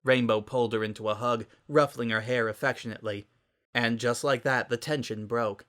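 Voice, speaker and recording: Bright-voiced dramatic story narrator. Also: medium pitch, steady tone, fairly quick. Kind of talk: dramatic scripted story reading.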